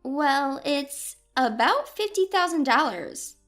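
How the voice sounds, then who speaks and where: A woman puts on a scheming middle-aged daughter-in-law in an audio story, effusive and polite. The voice is high, with gushing, very mobile intonation. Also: animated, takes it slowly, a bit bright, soft background music, full character voice. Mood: plaintive. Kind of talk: acted dialogue in an audio story.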